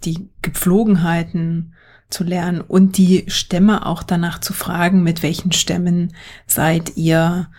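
A woman's voice: fairly low pitch, level tone, slow pace, darkish tone. A woman co-hosting a casual history podcast, reflecting thoughtfully with frequent fillers. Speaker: podcast co-host.

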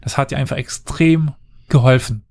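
A man hosting a casual history podcast, telling the story at length with lively explanations. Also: medium pitch, normal speed, creaky, no room sound.

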